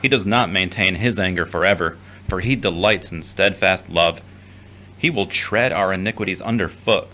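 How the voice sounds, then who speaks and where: A devout, earnest son played by a man in an audio drama, with a low-pitched voice. He sounds self-doubting and slightly hesitant in his delivery. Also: moderate pace, pushed and bright, slight background noise, low-quality recording. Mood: reverent, subdued.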